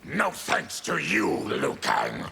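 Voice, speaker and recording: An actor playing an afflicted, embittered mutant warrior in a video game, with a gravelly growl and grim, deliberate, menacing delivery. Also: male voice, rumbling low, huge pitch swings, heavily acted. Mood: aggrieved. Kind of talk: video game character dialogue. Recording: clear background noise with clicks.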